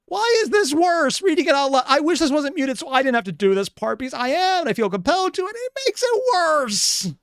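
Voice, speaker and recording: A man's voice: extremely high pitch, very animated, a little fast, few pauses, fairly bright tone, no room sound, clean studio sound. A man hosting a reaction video, commenting casually in a rambling, analytical way.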